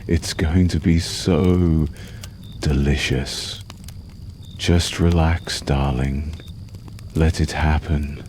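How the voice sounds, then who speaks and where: Very deep, slow vampire lover acted by a man. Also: fairly even intonation, a little mellow, slight background noise, full character voice. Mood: possessive, coaxing. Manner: slow, soothing, menacingly tender, lingering pauses. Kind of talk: ASMR roleplay, intimate storytelling.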